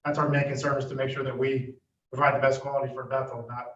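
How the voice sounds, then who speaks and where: A man's voice: mid-range voice, level tone, quick pace, a bit bright. Contractor guy reassuring the board, far off, dull audio with a faint hiss. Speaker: construction firm representative. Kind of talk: answering questions at a school board meeting. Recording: distant muffled audio in an echoey room with faint noise.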